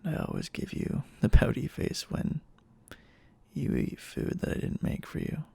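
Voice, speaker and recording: A man playing a clingy, obsessive, lovestruck boyfriend in an intimate ASMR roleplay, speaking in a halting, tender way with a breathy voice that often drops near a whisper. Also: mellow, dry recording, natural voice. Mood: anxious, apologetic, pleading. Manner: halting, pleading, tender endearments.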